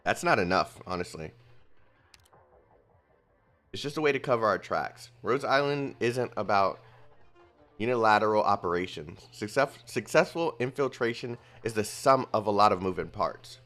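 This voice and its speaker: Guy reading as a calm squad leader giving orders, plain steady read, pitch moves around, light music under it. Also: normal speed. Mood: instructive.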